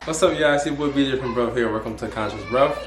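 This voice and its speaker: A man's voice: medium pitch, quick pace, loud music behind the voice. Rambling young man hosting. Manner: rambling, restarts and repeats words. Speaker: host. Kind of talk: casual interview. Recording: echoey room.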